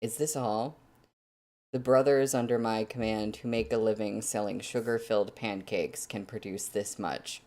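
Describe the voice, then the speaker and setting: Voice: medium pitch, steady tone, moderate pace, dark tone, close to an everyday voice. A reader voicing a scornful, suspicious male bandit commander in an audiobook-style reading of a novel.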